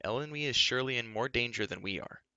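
A man's voice: mid-range voice, quick pace, bright, forceful tone, smooth, no echo, muffled recording, pitched above the performer's own voice. A man voicing an eager, earnest young hero who speaks urgently.

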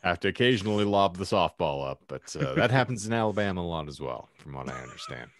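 A man's voice: low voice, animated, fast talker, no echo. A man hosting a podcast with wry banter, speaking in a wordy, florid, mock-formal and joking way into a close microphone. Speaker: podcast host. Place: home room lined with shelves, video call. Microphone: close desk microphone.

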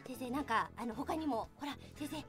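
A woman's voice: high voice, normal speed. A woman hosting a playful promotional chat in a bubbly, teasing manner. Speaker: host. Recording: music playing behind the voice. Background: background music.

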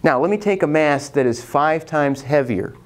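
A man's voice: on the high side, expressive, normal speed. A middle-aged American man presenting a casual science demonstration in a colloquial, playful way with joking asides. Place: classroom laboratory, indoors. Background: music.

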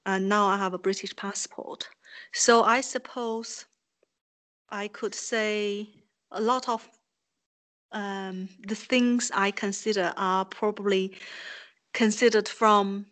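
A woman from China, a native Chinese speaker, answers interview questions slowly and thoughtfully. She hesitates often, filling pauses with ums as she searches for words, and her pitch sits in the middle range. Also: fairly even intonation, fairly bright tone. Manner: hesitant, reflective, many ums. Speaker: guest artist. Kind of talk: interview conversation.

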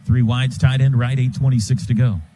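An American man calling live football on the radio as play-by-play announcer, in clipped phrases that build toward excited calls. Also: medium pitch, brisk. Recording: stadium booth with loud crowd noise.

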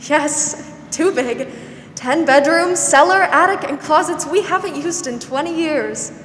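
Young woman playing high-voiced gentle older aunt. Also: a little slow. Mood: kindly, patient. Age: young adult. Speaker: student actor. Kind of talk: 1940s radio drama acting. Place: school theatre stage. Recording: echoey hall, noisy background.

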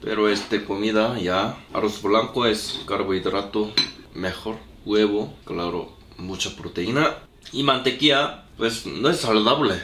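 A man's voice: on the low side, unhurried, reverberant, noisy background. A young man whose first language is Korean, speaking simple learner's Spanish while cooking and chatting in a vlog, with a lingering cough. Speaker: vlogger. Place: small apartment room.

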